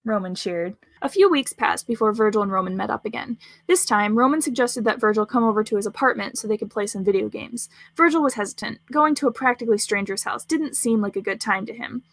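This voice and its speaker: A woman's voice: fairly high pitch, brisk, gravelly, few pauses, a bit bright, no room sound. A woman narrating scripted fan fiction as a storyteller.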